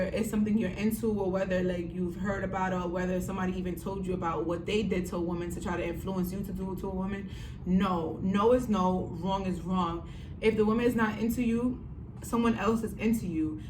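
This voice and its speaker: A woman in her late twenties vlogging straight to camera, earnest and pleading, often repeating words for emphasis. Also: mid-range voice. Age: 20s. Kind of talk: heartfelt direct-to-camera vlog. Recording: echoey room, voice a bit far from mic, noisy.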